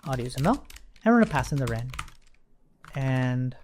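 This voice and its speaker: A man's voice: medium pitch, sing-song, moderate pace, a little mellow. A man giving an informal, explanatory coding walkthrough as an instructor, thinking aloud as he goes. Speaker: programming tutorial instructor. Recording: faint background noise, keyboard typing clicks. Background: computer keyboard typing and clicks.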